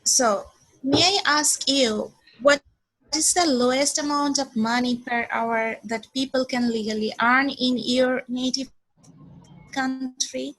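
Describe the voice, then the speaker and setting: Slow, high-voiced woman teacher in her twenties. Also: fairly even intonation, a bit bright, poor audio. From Bangladesh. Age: 20s. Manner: slow and deliberate, repeats herself, frequent "okay". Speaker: English teacher. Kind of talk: online English lesson.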